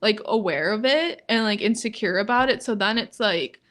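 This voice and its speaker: A young woman with a monotone delivery and a middle-pitched voice, talking casually on a podcast. She fills nearly every phrase with "like" and laughs often between thoughts. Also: moderate pace. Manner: says "like" constantly, flat and low-key, laughs often. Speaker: podcast co-host. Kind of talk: casual chat.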